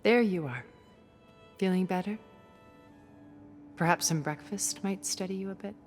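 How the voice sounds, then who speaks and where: An actress playing a poised, persuasive immortal older woman with measured, elegant phrasing in a cinematic video game. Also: fairly low pitch, a little mellow, faint music behind, lightly acted. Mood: gracious.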